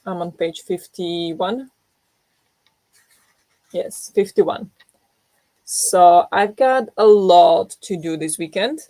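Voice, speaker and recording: A woman's voice: lowish voice, a little mellow, dry recording. A young woman hosting a reading livestream, just woken up and groggy, chatting casually to viewers with many fillers and restarts. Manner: rambling, self-deprecating, many fillers and restarts. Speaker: book vlogger hosting a reading sprint.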